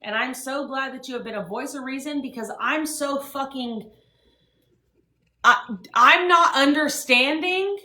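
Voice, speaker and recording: A woman's voice: high-pitched, pushed and bright, echoey room. A woman hosting a live stream and ranting bluntly with lots of swearing. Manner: blunt, profane, emphatic. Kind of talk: heated live stream rant.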